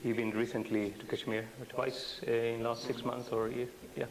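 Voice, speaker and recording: A man chairing a meeting, speaking informally in halting phrases full of fillers. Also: mid-range voice, little pitch movement. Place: indoor meeting. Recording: hissy, slightly noisy meeting recording.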